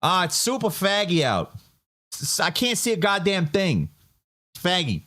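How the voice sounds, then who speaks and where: A man voicing his older grandmother, grumbling in an exaggerated Boston accent with drawn-out nasal vowels. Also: high-pitched, big pitch swings, bright, forceful tone, voice pushed up, heavily acted. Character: older Boston grandma complaining about fog.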